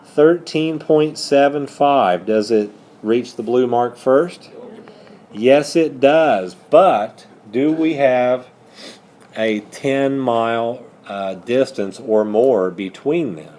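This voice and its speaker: A man's voice: mid-range voice, slow pace, darkish tone. A man teaching a math lesson step by step, posing questions and then answering them himself. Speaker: high school math teacher.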